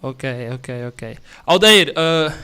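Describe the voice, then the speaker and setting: A man's voice: on the high side, lively intonation, drawn-out words, pushed and bright, clean tone. A man hosting a sports interview show, friendly, laughing often and using slang. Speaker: news show host interviewing.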